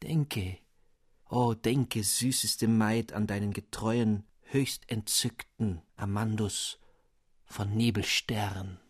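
A man's voice: steady tone, slow, husky, no echo, studio quality. A man voicing a lovesick young student who writes gushing, flowery love letters. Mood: swooning. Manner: florid, swooning, high-flown.

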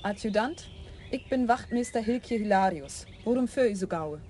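An actress playing a brisk young country policewoman who speaks with a broad Frisian accent and a blunt, official manner. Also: mid-range voice, expressive, a little mellow, slight background noise, natural voice. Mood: stern, official. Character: brisk young female country traffic cop. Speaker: motorcycle policewoman. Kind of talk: radio drama acting.

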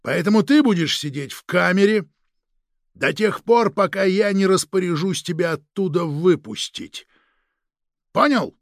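A man's voice: fairly high pitch, sing-song, moderate pace, vocal fry, no room sound, clean studio sound, full character voice. A man voicing a domineering, suspicious police detective chief who interrogates and gives orders in a dramatic audiobook. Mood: firm, cold. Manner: commanding, interrogating, barking orders.

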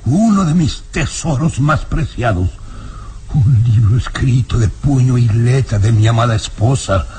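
An actor playing an irascible old baron in a vintage radio serial, speaking formally and emphatically with indignant menace. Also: male voice, medium pitch, animated, a little slow, warm tone, close to an everyday voice. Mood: grave, accusing. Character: irascible, imperious old baron, nervous castle lord. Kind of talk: vintage Spanish-language radio serial acting.

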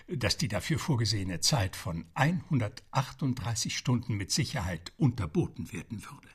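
A man's voice: medium pitch, husky. A man narrating a radio play as a scripted storyteller. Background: music.

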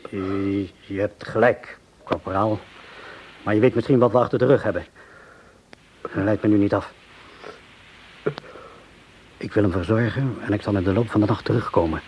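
An actor playing a gruff, scornful army doctor, a brusque and commanding major in a radio drama. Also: male voice, on the low side, moderate pace, long pauses, a little mellow, slight background noise, natural voice, low-quality recording. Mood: brisk.

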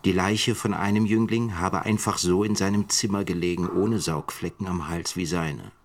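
A very low male voice as a sober writer-chronicler. He narrates a radio play gravely and factually, in a measured way. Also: fairly even intonation, a little hiss or hum. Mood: dry, reporting.

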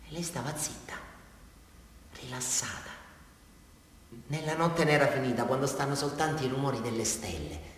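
Actor as rambling southern Italian confessor, cavernous echo. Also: male voice, medium pitch, lightly acted. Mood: dreamy, tender. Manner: rambling, halting, swinging from hushed to loud. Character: disturbed, simple-minded male criminal confessing, rambling and childlike. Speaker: actor in a radio play. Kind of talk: radio drama confessional monologue. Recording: distant, very echoey reverberant hall.

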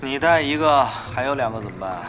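A man's voice: medium pitch, animated, brisk, lightly acted. An actor playing an exasperated, indignant male rival guild gamer in a Chinese audio drama. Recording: music behind the voice.

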